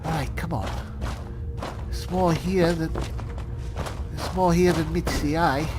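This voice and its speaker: A man's voice: fairly high pitch, takes it slowly, music in the background. A man giving in-character commentary as he roleplays through a video game. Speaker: gamer narrating a playthrough in character. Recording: loud game sound behind the voice. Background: game sound effects and ambience.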